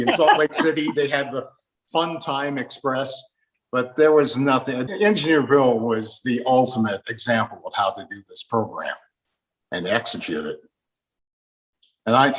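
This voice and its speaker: A man's voice: medium pitch, a bit bright, low-quality recording. Conversational man hosting a talk. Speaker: host. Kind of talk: casual conversational interview.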